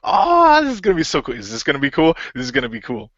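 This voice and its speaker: A man voicing a goofy, excitable young man, an eager would-be hero in an amateur radio play. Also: a little high, fast, dry recording, lo-fi sound, pitched above the performer's own voice. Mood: giddy, excited.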